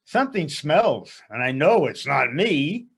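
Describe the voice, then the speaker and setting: Man voicing a cheeky, wry poor joker, high-pitched. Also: very animated, unhurried, gravelly, husky, heavily acted.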